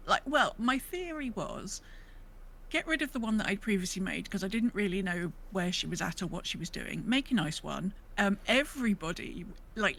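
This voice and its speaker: A woman's voice: mid-range voice, fairly bright tone, slight background noise. A woman chatting casually as a podcast co-host, rambling and thinking aloud. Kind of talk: casual gaming podcast chat.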